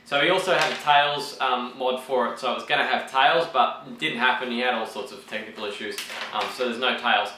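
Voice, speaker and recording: A man talking through a build report in a casual, rambling way, full of ums and restarts, his voice croaky from having partly lost it. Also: medium pitch, fairly even intonation, a little fast, hardly any pauses, bright, forceful tone, roomy sound, a little hiss or hum, rough recording.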